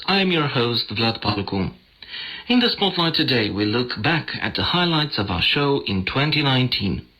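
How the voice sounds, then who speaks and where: A man's voice: mid-range voice, pushed and bright, rough recording. A man hosting a scripted news magazine on a digital shortwave radio broadcast. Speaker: radio host.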